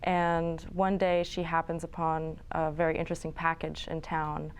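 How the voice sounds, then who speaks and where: A young woman from New York and Florence, answering interview questions about her film in a relaxed, chatty way with fillers and small laughs. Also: low voice, normal speed, smooth. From New York City and Florence. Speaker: filmmaker guest. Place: studio.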